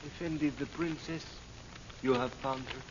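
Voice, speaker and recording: An actor playing a formal, respectful and devout manservant in old-time radio drama. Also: male voice, mid-range voice, steady tone, normal speed, lightly acted. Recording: muffled old radio recording, faint noise.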